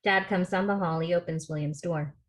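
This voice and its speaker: A woman's voice: fairly low pitch, steady tone, brisk. A woman reading out stage directions as scripted narration at a table read over a video call.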